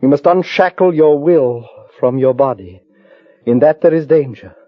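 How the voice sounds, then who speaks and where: An actor playing a powerful, lovestruck alien man in old radio drama style, speaking in a formal, measured, declamatory way. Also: fairly high pitch, dry recording, natural voice. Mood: commanding, grave. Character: grave, ardent alien man with great powers, courting a human woman. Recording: muffled vintage radio recording, music behind.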